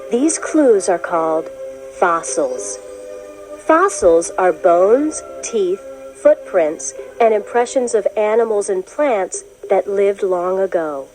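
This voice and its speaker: A woman narrator reads a scripted children's nonfiction book at an unhurried pace. Her intonation moves expressively up and down, and each phrase is measured and explanatory. Music plays along with the narration. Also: mid-range voice, expressive, a little mellow, rough recording.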